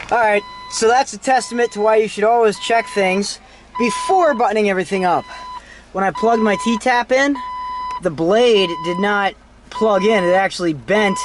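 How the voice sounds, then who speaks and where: High-voiced man, casual DIY tutorial. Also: noisy background. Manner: informal, self-deprecating, mildly salty slang. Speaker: host of a DIY car how-to video. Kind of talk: casual hands-on tutorial narration. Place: in and around a vehicle while working on it.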